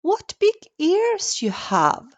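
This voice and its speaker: Woman as a high-pitched innocent little girl. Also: wildly swooping pitch, slow, heavily acted. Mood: innocent, puzzled.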